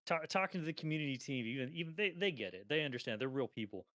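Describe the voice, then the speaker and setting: Animated, swearing man in casual gaming chat. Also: mid-range voice, racing, no room sound. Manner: laughs often, animated storytelling, swears casually. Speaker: co-host.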